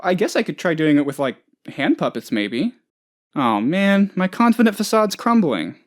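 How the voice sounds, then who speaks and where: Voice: mid-range voice, big pitch swings, normal speed, natural voice. An actor playing a dim, anxious, childishly self-important office boss in a comedic video game, rambling hesitantly and turning whiny when upset. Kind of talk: comedic game dialogue, voice lines.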